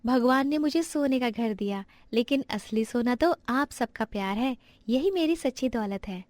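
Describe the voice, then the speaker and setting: Earnest, gentle young daughter-in-law, played by a woman, very high pitch, dry recording, storybook drama. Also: expressive, moderate pace, no room sound, close to an everyday voice. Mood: gentle, sincere. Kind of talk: dramatized moral story acting.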